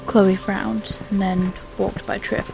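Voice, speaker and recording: A woman's voice: medium pitch, little pitch movement, slow, gravelly, over loud music, low-quality recording. A woman giving scripted story narration for an audio drama.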